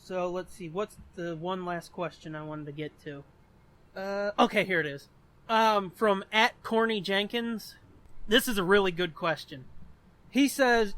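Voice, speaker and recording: A man hosting a podcast in casual chat, explaining things in a blunt, sweary way. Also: sits high. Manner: profane, blunt, animated explaining. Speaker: podcast host.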